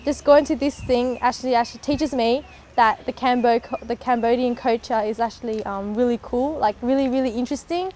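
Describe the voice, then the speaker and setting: Young aussie girl rambling casually, says like a lot, highish voice, bit noisy behind her. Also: fairly even intonation, quick pace, few pauses, darkish tone. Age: teens to young adult. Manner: rambling, peppered with "like". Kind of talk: casual interview answers. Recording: noticeable background noise.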